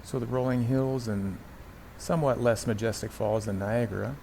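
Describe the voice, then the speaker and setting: A man's voice: medium pitch, steady tone, normal speed, vocal fry, darkish tone, slight background noise. A middle-aged man from the United States narrating a travel vlog about a road trip in an easygoing, lightly wry way.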